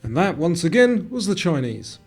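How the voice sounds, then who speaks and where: A man's voice: fairly high pitch, very animated, moderate pace, slightly rough, soft background music. A man presenting a quiz in scripted narration, reading out questions and answers.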